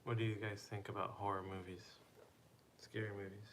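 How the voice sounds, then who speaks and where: A man's voice: fairly low pitch, level tone, brisk, slight background noise. A young man casually chatting on a live stream, playfully teasing and asking viewers questions.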